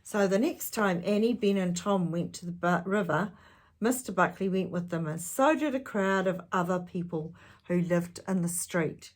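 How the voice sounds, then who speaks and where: Low husky woman reading a children's story. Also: normal speed. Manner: expressive, addresses young listeners with questions. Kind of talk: read-aloud storytelling with chatty asides.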